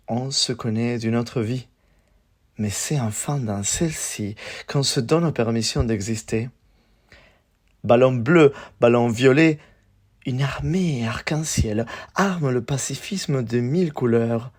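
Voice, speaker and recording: A man gives a spoken-word poetry recital at a slow pace. His delivery is measured and declamatory, broken into short phrases with pauses between them. His voice sits at a medium pitch for a man. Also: a little mellow.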